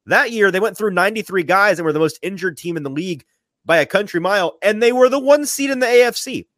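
A man hosting a sports talk podcast, sniffly from a sinus infection, animated and often repeating his first words as he starts a thought. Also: sits high, fast talker, no echo, clean studio sound. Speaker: podcast host.

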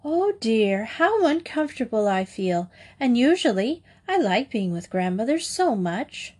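A woman's voice: on the high side, very animated, measured pace, darkish tone. Lady doing a sweet trusting little girl from a fairytale, earnest and innocent. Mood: uneasy. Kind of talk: storybook character voice.

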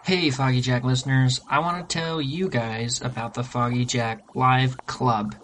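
A man's voice: mid-range voice, steady tone, measured pace, a bit bright, slight background noise. A man hosting a podcast, addressing listeners in a casual promotional style. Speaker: podcast host.

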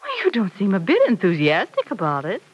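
A woman's voice: mid-range voice, wildly swooping pitch, a little fast, breathy edge, highs cut off. Lilting, motherly radio-serial figure. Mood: concerned. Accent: American. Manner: motherly, teasing. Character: affectionate, teasing mother of a grown daughter. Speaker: radio drama actress. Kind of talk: old-time radio serial acting. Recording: vintage radio recording.

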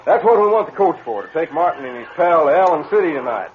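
An actor playing an excitable deputy sheriff in an old-time radio western. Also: male voice, on the high side, fast talker. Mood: urgent. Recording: tinny, noisy old radio recording.

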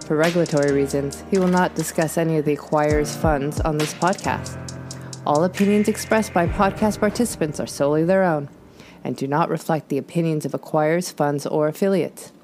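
A woman reads a formal disclaimer in an even, scripted way. Her voice is very low for a woman. Music plays clearly underneath her. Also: fairly quick. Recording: read over clear music.

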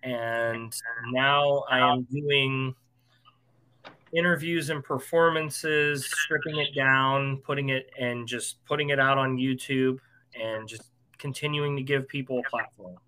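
American male musician, interview guest, conversational delivery with a bright, forward edge. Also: mid-range voice, fairly even intonation, slow, low-quality recording.

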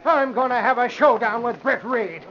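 A man's voice: very high-pitched, normal speed, fairly bright tone. A man playing an irascible, blustering newspaper city editor in 1940s radio drama style. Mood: indignant, blustering. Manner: blustering, indignant, emphatic. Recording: muffled vintage radio recording.

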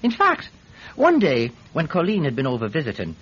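An actor playing an eager Irish peat seller testifying in court in a classic radio drama, hesitant, stammering and forever digressing. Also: male voice, fairly high pitch, wildly swooping pitch, moderate pace, a bit bright, breathy edge, full character voice. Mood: flustered, earnest. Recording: muffled, hissy vintage radio recording.

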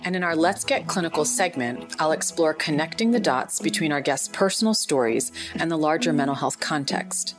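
Podcast host: woman psychiatrist, very deep voice, measured formal read, faint music under. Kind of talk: scripted podcast intro and disclaimer.

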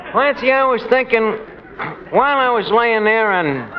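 A man's voice: very high-pitched, animated, measured pace, a crowd in the background. An actor playing a wisecracking middle-aged tavern manager in a 1940s radio sitcom, mangling words and chuckling at his own jokes. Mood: joking. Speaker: radio comedy lead. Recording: hissy old lo-fi radio recording.

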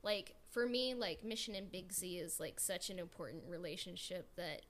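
A woman's voice: on the low side, steady tone, moderate pace. A woman talking casually as a podcast panelist, feeling out her opinions in short, hesitant pieces. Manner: hesitant, piecemeal phrasing with frequent "like". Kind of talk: casual fan discussion. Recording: clear background noise.

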